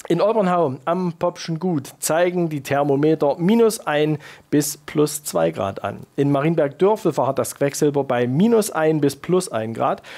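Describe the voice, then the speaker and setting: A man in his thirties presenting scripted regional news and weather in a measured, formal announcing manner with clear emphasis. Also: on the high side, a little mellow. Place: TV studio.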